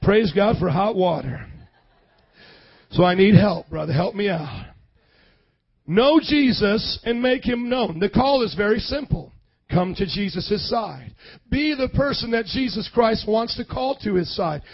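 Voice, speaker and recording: A hoarse young man preaching a sermon, energetically calling out to the congregation for responses. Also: sits high, lively intonation, unhurried. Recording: dull lo-fi sound.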